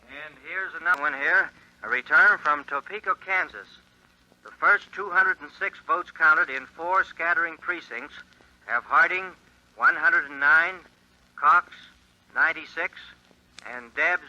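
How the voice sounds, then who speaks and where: An actor playing an earnest 1920s radio election announcer, reading returns in a formal, measured way, in a 1940s radio drama. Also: male voice, fairly high pitch, pushed and bright, heavily acted. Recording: dull lo-fi 1940s radio recording.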